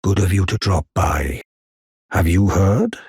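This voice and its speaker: An old giant, a loyal war counselor from a fantasy video game. The voice sits very low and is thickly hoarse-edged, with slow, measured delivery in a close, dry sound. He speaks with solemn, old-world courtesy. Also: darkish tone, no echo, heavily acted. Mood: courteous, welcoming. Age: older. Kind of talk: formal video game character acting.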